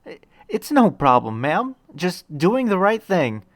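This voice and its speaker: A man's voice: high voice, very animated, measured pace, dry recording, voice pushed up, full character voice. A man voicing an earnest, polite, stammering teenage boy hero in training in a narrated story. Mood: gentle, stammering. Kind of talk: acted audiobook dialogue.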